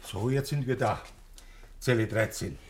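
A man's voice: medium pitch, a little slow, a little hiss or hum, lightly acted. A man playing a dutiful prison warder, formal and deferential, in 1960 German radio comedy style.

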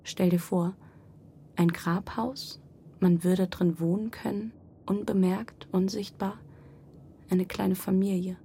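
A woman's voice: mid-range voice, fairly even intonation, slow pace, long pauses, dark tone, a little hiss or hum. A woman playing a defiant homeless Roma daughter who tells her own story straight to the listener in a radio play. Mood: quiet, confiding. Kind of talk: radio play monologue, narrating.

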